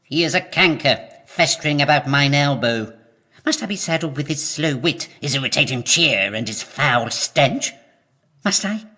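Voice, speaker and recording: A snobbish, irritable British nobleman in a comic audio play. The voice is bright and cutting, swinging widely in pitch, with florid old-fashioned phrasing and open contempt. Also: animated, moderate pace. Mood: scornful, pleading. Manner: pompous, florid archaic insults. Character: haughty, irritable lord who scorns peasants. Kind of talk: comic period audio drama acting.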